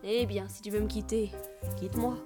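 An actress playing a jealous, explosive jilted fiancée in a radio play, with a theatrical delivery. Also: female voice, mid-range voice, fairly even intonation, normal speed, close to an everyday voice. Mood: cool. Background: music.

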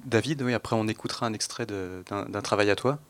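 A man hosting an unscripted radio panel discussion, moderating in a halting, reflective way. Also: fairly low pitch, clean tone.

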